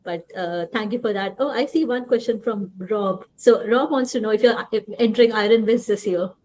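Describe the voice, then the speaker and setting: A woman's voice: a little high, moderate pace, darkish tone. A woman casually hosting an online group meeting, thanking speakers and passing things along. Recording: faint background noise on online call.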